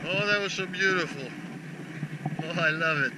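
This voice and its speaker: Excitable man fishing on a windy shore. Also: high voice, normal speed. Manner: exclamatory, swears, directs the photographer. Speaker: fishing vlogger. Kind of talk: excited outdoor narration. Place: rocky seaside shore outdoors. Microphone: action camera on the body. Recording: loud wind and sea noise.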